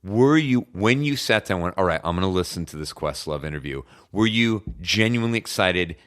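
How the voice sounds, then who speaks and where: A man's voice: deep voice, expressive, a little fast, fairly bright tone. A man co-hosting a podcast, chatting casually with a wry, teasing sense of humor. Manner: wry, teasing, joking asides. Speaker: podcast co-host. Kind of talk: casual chat reviewing a podcast.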